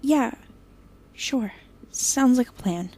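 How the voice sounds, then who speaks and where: Actress as nervy young woman in an audio drama, high soft dark voice, halting, kinda breathy. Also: lively intonation, exaggerated voice. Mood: subdued. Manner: hesitant, halting. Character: anxious young woman Ophelia, police chief's daughter, pleading.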